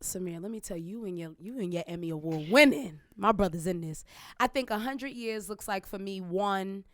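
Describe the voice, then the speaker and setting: Animated woman guest, dry close sound. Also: mid-range voice, moderate pace, no echo. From Jamaican family. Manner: animated, emphatic, laughs often. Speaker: guest entrepreneur. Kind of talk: casual interview conversation.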